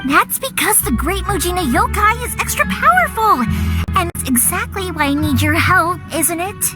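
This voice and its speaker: A woman's voice: very high voice, animated, normal speed. A woman voicing a cheerful young fireworks maker who encourages children in an upbeat way. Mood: encouraging. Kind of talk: video game cutscene voice acting. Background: game music.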